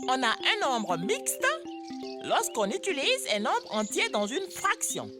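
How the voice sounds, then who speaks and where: A woman's voice: high voice, huge pitch swings, slow, exaggerated voice. A woman voicing a bossy but patient older girl in an educational cartoon, explaining with emphasis. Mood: firm. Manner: instructive, emphatic. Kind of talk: French-language educational cartoon dub. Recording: music behind the voice.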